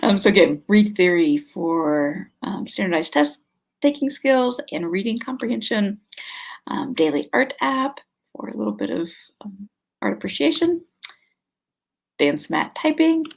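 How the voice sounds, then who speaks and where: A middle-aged woman speaks in a mid-range voice with a slightly rough, husky grain. Her delivery is casual and conversational, like a vlogger sharing recommendations. She often thinks out loud as she goes. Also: medium pitch, big pitch swings, unhurried. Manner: chatty, conversational, thinking aloud. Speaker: homeschool vlog host. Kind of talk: casual informal product recommendations to camera.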